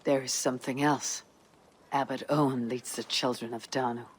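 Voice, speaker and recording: A man playing a seasoned, pragmatic Viking raider in a video game, measured and resolute. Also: mid-range voice, fairly even intonation, breathy edge, lightly acted, rough recording. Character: seasoned male Viking raider, steady, pragmatic war counsellor. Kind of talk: video game cutscene acting.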